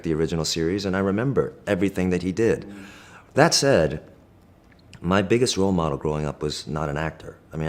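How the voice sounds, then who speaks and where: A middle-aged man, a native Korean speaker raised in the United States, giving thoughtful, measured interview answers with frequent fillers and restarts. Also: low-pitched. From Korea, raised in Pennsylvania.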